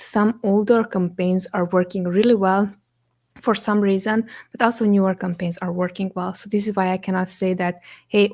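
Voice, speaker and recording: A young woman from Romania, a native Romanian speaker, hosts a live question-and-answer stream, talking in English at a middle pitch for a woman. She explains each point step by step in a relaxed, conversational way. She often pauses on "um" and checks in with "right?". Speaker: agency co-founder hosting a live Q&A.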